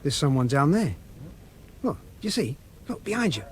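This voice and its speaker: Radio play: scheming desert guide, colloquial and matter-of-fact, high voice, background noise. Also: male voice, big pitch swings, moderate pace. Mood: low, wary. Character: rough-and-ready male desert guide, wary and scheming. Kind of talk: radio drama acting.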